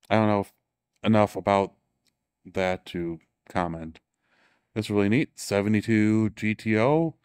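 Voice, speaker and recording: Man, low smooth voice, casual walking tour host in an exhibition hall, dry close sound, eager and offhand. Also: darkish tone. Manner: enthusiastic, offhand, self-correcting. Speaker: show tour guide and author. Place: large indoor exhibition hall.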